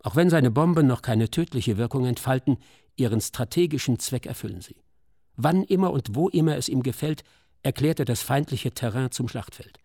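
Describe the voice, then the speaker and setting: A man narrating a scripted dramatic radio documentary in a solemn, measured, literary manner. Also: medium pitch, animated, mellow, breathy edge, no room sound, clean studio sound.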